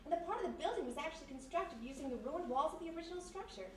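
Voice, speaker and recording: High and fast, with room echo and the mic at a distance, a woman acts a snappy gal Friday in a vintage radio mystery. She is sardonic and suspicious, full of dry wisecracks. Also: expressive. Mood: impressed, chatty. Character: wisecracking, suspicious, quick-thinking gal Friday, former vaudevillian. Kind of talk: live 1940s-style radio comedy-mystery acting. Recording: echoey room, distant mic, faint noise.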